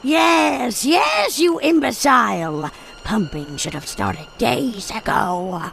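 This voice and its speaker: An actress playing a haughty, mocking villainess with imperious, melodramatic delivery in an old-time radio drama. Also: female voice, fairly high pitch, sing-song, slow pace, gravelly, a bit bright, slight background noise, exaggerated voice. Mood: furious. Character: haughty, theatrical villainess, one of twisted twin sisters.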